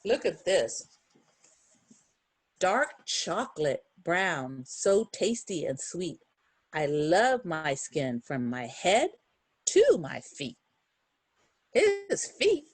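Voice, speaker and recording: Animated woman reading aloud to children. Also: fairly low pitch, very slow, long pauses, husky, dry recording. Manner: expressive, encouraging, asks the listeners questions. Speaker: children's storybook reader. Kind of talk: read-aloud to young children with chatty asides.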